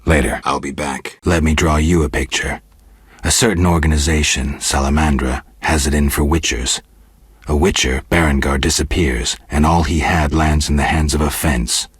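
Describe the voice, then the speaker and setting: Very deep, raspy monster hunter. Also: male voice, normal speed, no room sound, full character voice. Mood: probing, dry, then contemptuous, stern. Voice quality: gravelly, husky. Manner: terse, deadpan, restrained. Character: stoic, weary monster-hunting witcher, adult man. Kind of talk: video game character dialogue.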